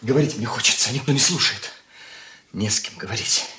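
An actor playing a brooding, wounded and defiant schoolboy son in a Soviet radio drama, earnest and bitter in manner. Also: male voice, mid-range voice, a little fast, sharp tone, slight background noise. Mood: bitter, determined.

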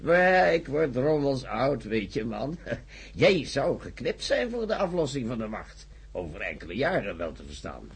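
A man playing a bluff, jovial old professor in a radio drama, with a raspy, gravelly voice and a blunt, lecturing manner. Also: mid-range voice, sing-song, normal speed, exaggerated voice. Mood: jovial, bustling. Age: older. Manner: bluff, chuckling, lecturing, peppered with interjections.

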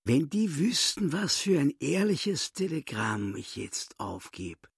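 Slow, creaky Austrian swindler, gloating. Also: male voice, on the high side, sing-song, no room sound, studio quality, exaggerated voice. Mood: sly, urgent. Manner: theatrical, gloating, mock-courteous. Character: sly, boastful, mocking small-time swindler and chess player, male. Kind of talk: radio play acting.

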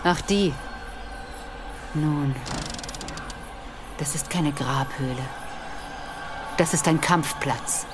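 A bitter old woman of a radio play. Her voice is on the low side, foreboding and scornful, sometimes sinking to a breath. Music sounds clearly behind her. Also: very slow, long pauses. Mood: grim warning. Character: bitter old crone, grim keeper of dark lore. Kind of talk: German audio drama acting.

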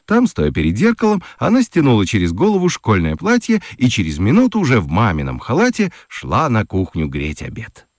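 A man narrating a children's radio play with expressive storytelling. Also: fairly low pitch, a little fast, hardly any pauses, no echo, clean studio sound.

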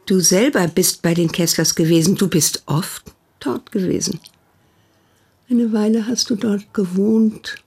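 A woman's voice: mid-range voice, unhurried, creaky, a little mellow, breathy edge. An actress playing an older, protective and prying sister who questions her brother reproachfully in a radio play. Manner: reproachful, probing, insistent.

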